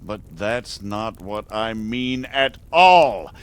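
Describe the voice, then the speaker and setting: An actor playing a shrewd, persuasive medieval margrave with an emphatic, aristocratic manner in a game cutscene. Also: male voice, on the low side, animated, slow, smooth. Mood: confident. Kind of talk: dramatic game cutscene acting.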